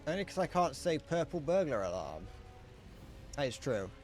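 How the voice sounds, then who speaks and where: A man's voice: on the high side, sing-song, normal speed, a little mellow, soft background music. A young man streaming a game, chatting casually in a rambling, self-deprecating way that keeps trailing off mid-sentence. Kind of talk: casual chat while gaming.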